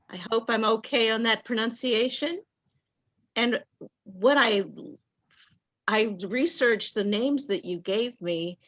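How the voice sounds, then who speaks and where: A woman reading out a playwright's answers in an online talk back, warm and enthusiastic. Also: mid-range voice. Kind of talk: video call talk back. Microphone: video call. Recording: dull lo-fi call audio.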